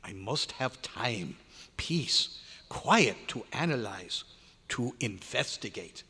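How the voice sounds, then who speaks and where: A man playing an excitable, authoritative German scientist in old-time radio horror, with a German accent and dramatic, formal, emphatic delivery. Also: medium pitch, slow pace, slightly rough, full character voice. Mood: grave, intent. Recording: live hotel meeting room, long echo.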